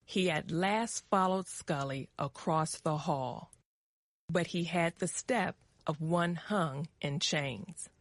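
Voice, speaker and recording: A woman narrating a short story for English learners with deliberate, careful phrasing. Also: sits low, dry recording.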